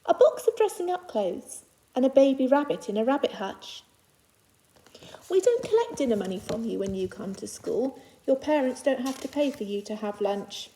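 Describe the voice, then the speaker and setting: A woman's voice: a little high, big pitch swings, moderate pace, warm tone, low-quality recording. A woman teacher reading a children's picture book aloud to young pupils, phrasing it simply and adding reassuring asides in her own words.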